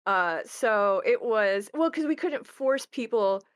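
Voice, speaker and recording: A woman's voice: on the high side, animated, takes it slowly, no echo, clean studio sound. A woman who creates games, talking casually and thinking aloud as she explains design, often rephrasing as she goes. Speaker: game creator in conversation. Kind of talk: casual discussion of game design.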